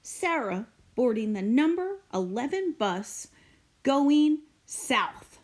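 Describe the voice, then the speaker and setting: A woman reading a children's storybook aloud as a read-aloud host, expressive and deliberate, with dramatic pauses and emphasis. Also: high-pitched. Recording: roomy echo, distant microphone.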